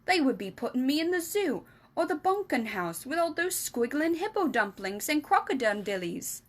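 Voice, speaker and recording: A woman's voice: sits high, sing-song, normal speed, voice pushed up. A woman voicing a big friendly male giant for a children's storybook, exuberant and kindly, with mangled grammar and emphatic nonsense words. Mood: fretful, emphatic. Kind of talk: animated children's storybook reading.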